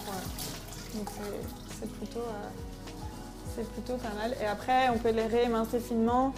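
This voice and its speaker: A woman cooking on a show, calmly explaining each recipe step in a practical way. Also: fairly high pitch, normal speed. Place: home kitchen. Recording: kitchen sound with background music.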